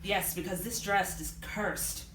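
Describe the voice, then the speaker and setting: A sardonic young bride who has been jilted as a woman author reads her speaks with sarcastic emphasis. The live reading has clear room echo and some noise. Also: mid-range voice, a little slow, fairly bright tone, voice pushed up, close to an everyday voice. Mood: defiant.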